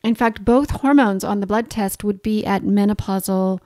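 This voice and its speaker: A middle-aged woman with a Canadian accent, lightly shaded by years in Australia, explains a health topic in a calm, clear, scripted way. Her voice sits at a medium pitch. The recording is dry and close, with no room sound. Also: normal speed, no room sound. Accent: Canadian, with some Australian. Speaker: podcast host, naturopathic doctor.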